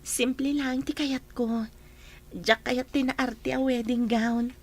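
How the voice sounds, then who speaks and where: An actress plays a young bride-to-be in an audio drama, pitched high. She comes across as modest and devoted, with a firm streak. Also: steady tone, takes it slowly, a little mellow, heavily acted. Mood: gentle, firm.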